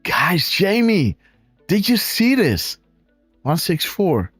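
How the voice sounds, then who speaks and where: Dutch-accented male stream host, unhurried but excitable, voice leaping up and down, dry close sound. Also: sits high, breathy edge, dry recording, faint music behind. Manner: excitable, exclaiming, talks to viewers as guys. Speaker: live show host. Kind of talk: casual live-stream commentary on images.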